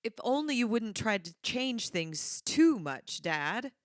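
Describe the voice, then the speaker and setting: A woman's voice: fairly high pitch, big pitch swings, takes it slowly, no room sound, studio quality. A woman acting in a radio play as a polite, earnest young adult daughter who is uneasy. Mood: polite, gently chiding.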